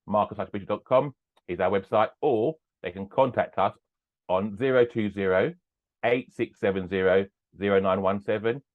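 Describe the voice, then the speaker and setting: A man's voice: lowish voice, moderate pace. Older man on a tinny remote line. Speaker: podcast guest, business owner. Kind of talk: casual interview chat.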